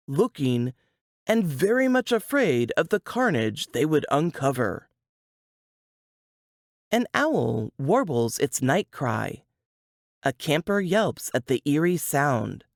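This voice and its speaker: A woman reading a suspense novel aloud in a dramatic, deliberate storytelling manner. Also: low voice, a little mellow, dry recording, clean studio sound. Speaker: author reading from their novel.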